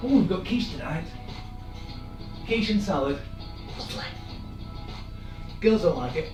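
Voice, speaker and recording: A man, hoarse and losing his voice, leading a live-streamed workout with chatty, encouraging asides. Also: on the high side, animated, measured pace, far from the mic. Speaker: fitness instructor. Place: room in an old house. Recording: echoey room, off-mic, music behind.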